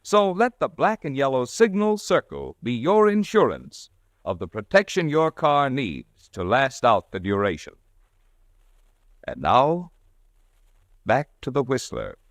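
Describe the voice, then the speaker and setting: A man's voice: mid-range voice, a little slow, no room sound. A man reading a sponsor's commercial as a 1940s radio announcer, in a salesman-like manner. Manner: lively, salesman-like. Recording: dry old radio broadcast.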